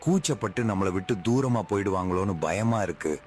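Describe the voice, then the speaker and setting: A man's voice: lowish voice, a little hiss or hum, natural voice. A man acting a principled, caring husband in a story drama, speaking earnestly. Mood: worried. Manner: measured, earnest.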